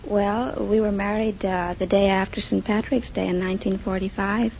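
A woman's voice: medium pitch, flat delivery, moderate pace, gravelly, darkish tone, lo-fi sound. Woman, twenties, from Belfast, quiz contestant, short replies, noisy 1950 radio sound. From Belfast, Northern Ireland. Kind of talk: brief interview answers.

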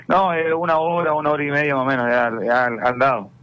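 Young male Chilean footballer, halting answers, lo-fi radio audio. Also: fairly high pitch, fairly bright tone. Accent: Chilean Spanish. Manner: halting, repeats words and says "claro" often. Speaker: football player guest.

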